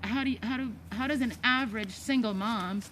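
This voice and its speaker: Hesitant American woman, slightly high voice. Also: fairly quick, a bit bright, slight background noise. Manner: hesitant, self-interrupting. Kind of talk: casual, unscripted discussion.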